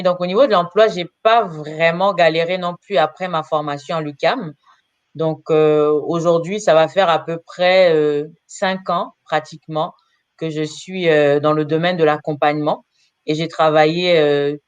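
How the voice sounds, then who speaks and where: A woman in her thirties from Congo, answering interview questions about her life as a career counselor, often pausing with filler sounds. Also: deep voice, dry recording, muffled recording. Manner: hesitant, frequent filler pauses.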